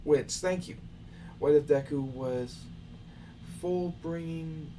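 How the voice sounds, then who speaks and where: A man's voice: a little high, takes it slowly, warm tone. A young man hosting a casual live question session, talking loosely and lecturing his viewers with some exasperation. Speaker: channel host answering viewer questions. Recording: far from the mic with faint background noise.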